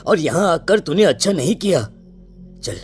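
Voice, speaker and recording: A man playing an anxious, stern village father in an animated story drama. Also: on the high side, measured pace, faint music behind. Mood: stern worry. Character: worried, stern village father of a grown son.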